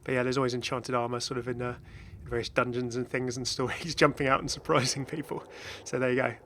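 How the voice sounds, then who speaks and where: A man's voice: medium pitch, little pitch movement, fast, fairly bright tone, a little hiss or hum. A man giving casual, off-the-cuff game commentary as a video host, chatty and rambling with enthusiastic asides.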